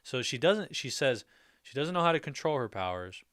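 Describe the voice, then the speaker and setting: Smooth-voiced man hosting a livestream. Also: medium pitch, big pitch swings, moderate pace, fairly bright tone. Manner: reads viewer comments aloud and muses on them; laughs often between remarks. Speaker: livestream host. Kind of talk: casual livestream reaction chat.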